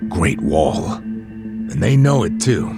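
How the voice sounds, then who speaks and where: An actor playing a weary, knowing middle-aged male ex-detective in an English game dub, explaining in a measured, wry way. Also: low-pitched, sing-song, gravelly, over loud music, full character voice. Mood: grave, knowing.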